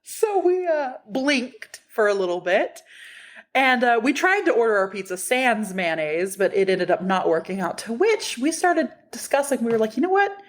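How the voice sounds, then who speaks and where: A woman co-hosting a podcast, telling road trip stories in casual chat, animated, laughing often and swearing freely. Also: fairly high pitch, normal speed. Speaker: podcast co-host.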